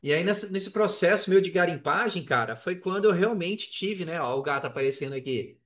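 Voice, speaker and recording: High-pitched Brazilian man in an echoey room. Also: animated, fairly quick. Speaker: podcast guest, affiliate marketer. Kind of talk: casual interview storytelling. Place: indoors on an online call. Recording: roomy echo on a call.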